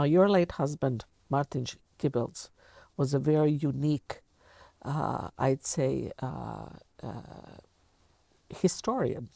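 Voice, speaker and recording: An older woman with a Canadian accent, talking reflectively and deliberately as an interviewer in a sit-down conversation. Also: rumbling low, gravelly, warm tone, dry recording. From Canada, of Latvian descent. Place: furnished indoor lounge studio.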